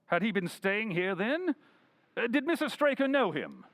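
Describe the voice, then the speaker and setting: A keen, courteous detective from an old-time radio play, acted by a man. His voice sits high and moves with lively, theatrical swings. He is self-assured and inquisitive, questioning with polish. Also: normal speed.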